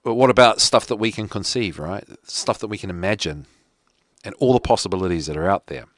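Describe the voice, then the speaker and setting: A man's voice: on the low side, brisk, a bit bright, no room sound, clean studio sound. A man with a New Zealand accent hosting a casual solo talk show, rambling with lots of fillers and restarts. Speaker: podcast host.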